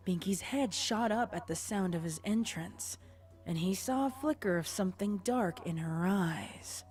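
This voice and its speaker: A woman's voice: fairly low pitch, a little mellow. A woman reading scripted fan fiction narration as the storyteller.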